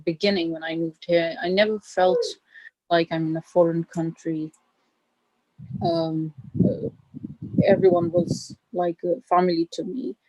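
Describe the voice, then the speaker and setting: Mellow-voiced woman, halting. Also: lowish voice, little pitch movement, no room sound. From northern Pakistan. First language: Burushaski. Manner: modest, halting, many fillers. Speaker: guest lecturer. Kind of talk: answering interview questions. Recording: dry, lo-fi call audio.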